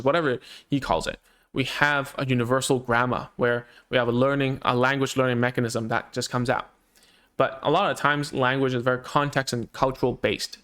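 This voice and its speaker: A man whose first language is Cantonese talks loosely through how children learn language, as a speech therapist would with parents. His pitch sits in the middle for a man, and he keeps it conversational, with frequent fillers and restarts. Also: a bit bright. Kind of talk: casual explanatory talk to parents.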